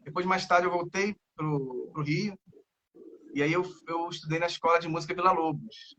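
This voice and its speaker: Man in his thirties, Carioca accent, bright tense voice, rambling with fillers, dull lo-fi sound. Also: a little high, fairly even intonation, moderate pace. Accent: Carioca Brazilian Portuguese. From Rio de Janeiro, Brazil. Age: 30s. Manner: rambling, many fillers and restarts. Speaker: guest guitarist. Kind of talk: casual interview chat. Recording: dull lo-fi audio.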